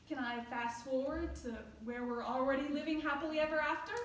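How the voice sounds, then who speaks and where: A woman plays a young single office worker, romantic and exasperated, in a stage play. Her high voice is dramatic and emphatic. It carries from a distance in a very echoey room. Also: fairly even intonation, moderate pace, lightly acted. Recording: very echoey room, distant mic, faint noise.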